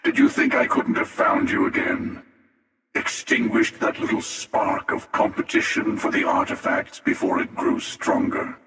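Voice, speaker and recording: A man voicing a jaded, menacing, world-weary older space hunter for a video game, with a gravelly, sardonic and deliberate delivery. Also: low-pitched, wildly swooping pitch, a bit bright, full character voice. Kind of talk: video game character voice lines. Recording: cavernous echo and long reverb, distant.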